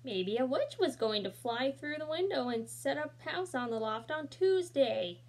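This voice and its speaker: A young woman reading a picture book aloud, bright and expressive. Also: high voice, unhurried, a little hiss or hum. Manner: expressive, with asides and small slips. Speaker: children's book reader. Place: small room indoors.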